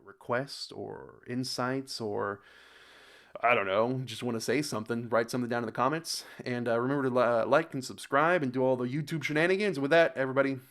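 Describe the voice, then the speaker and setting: A man with an American accent hosting a reaction video in casual commentary, a bit halting and stumbling over words as he reads aloud. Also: medium pitch, a bit bright. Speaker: host of a reaction channel.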